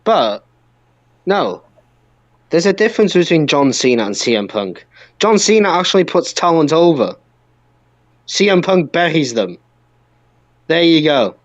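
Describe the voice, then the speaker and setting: A man's voice: on the high side, big pitch swings, a little slow, pauses often, no room sound. A man hosting a wrestling podcast, chatting casually and arguing with exclamations.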